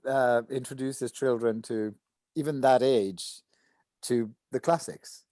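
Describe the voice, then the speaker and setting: A woman's voice: very deep voice, steady tone, slow, warm tone, no room sound. A woman voice actor talking thoughtfully and informally, explaining her view in a reflective way.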